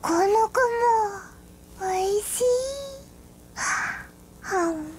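Very high, slow, dreamy lion girl. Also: a little hiss or hum, full character voice. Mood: drowsy, content. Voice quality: soft, girlish. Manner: drowsy, unhurried, polite, airy. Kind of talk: anime game character lines.

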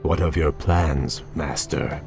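An actor playing a noble young elven prince in a fantasy game, speaking in a formal, earnest, respectful way. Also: male voice, rumbling low, fairly even intonation, slow, music in the background, heavily acted. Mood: deferential. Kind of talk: dramatic fantasy game cutscene acting.

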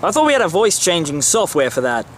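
An actor playing a pompous current-affairs TV presenter with a dramatic, overly serious delivery. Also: male voice, on the high side, sing-song, fast, slight background noise. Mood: solemn.

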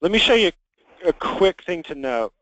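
A man leading a software training conference call, talking conversationally and instructively as he walks listeners through things. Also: fairly high pitch, sing-song, normal speed, no echo, poor audio. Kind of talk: conversational screen-share walkthrough. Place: conference call with screen share.

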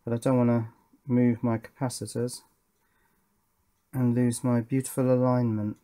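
A middle-aged man presents as a hobbyist at a workbench, in an average-pitched voice. His talk is casual and hands-on. He thinks aloud and puts questions to himself as he goes. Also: little pitch movement, moderate pace, warm tone. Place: indoor workbench.

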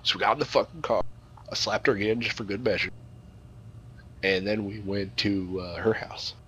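A man's voice: on the low side, steady tone, moderate pace, sharp tone, a little hiss or hum. A man improvising a crude comic story to a group, swearing freely and rambling in a mock-serious way. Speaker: storyteller, host.

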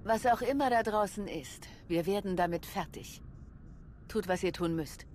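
A woman's voice: mid-range voice, moderate pace, darkish tone, a little hiss or hum, lightly acted. A woman playing a resolute Jedi commander in a German game dub, composed and authoritative.

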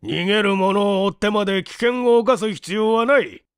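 A man's voice: high voice, normal speed, clean tone, no room sound, studio quality, pitched above the performer's own voice. A man voicing an irascible, domineering old male scientist in a barking, imperious manner, for a story reading. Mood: stern.

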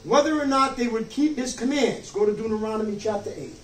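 High-pitched older man preaching, roomy sound. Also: a little fast. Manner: emphatic, rhetorical questions, check-ins like "okay?" and "you see?". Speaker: pastor. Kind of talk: sermon. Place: church sanctuary, preaching from a wooden pulpit. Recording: roomy distant mic, some background noise.

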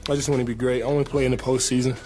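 A young man, a pro football player, answering reporters at a press conference in a relaxed, casual way, with many fillers and repeated words. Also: medium pitch, rapid-fire, faint music behind. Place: team press room, indoors. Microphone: table mic in front.

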